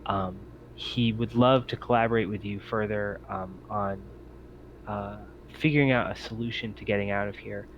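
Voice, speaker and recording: A man improvising descriptive narration as game master of a tabletop game, in a halting way. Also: lowish voice, steady tone. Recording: dull audio with faint noise.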